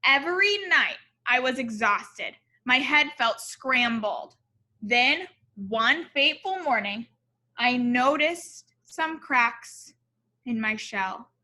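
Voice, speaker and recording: A woman with a high, very bright voice reads a children's story aloud. She takes her time and speaks expressively, in the explanatory manner of a teacher checking in with young listeners. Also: expressive, takes it slowly. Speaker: teacher reading a picture book aloud to children.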